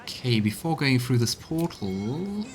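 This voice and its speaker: A man streaming a video game, giving commentary and reading in-game texts aloud in a measured, storytelling way. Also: medium pitch, very animated, slight background noise. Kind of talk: let's play commentary and voiced reading. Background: video game music.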